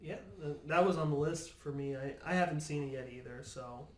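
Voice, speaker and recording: Echoey room, male voice, fairly high pitch, podcast guest, casual movie chat. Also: brisk.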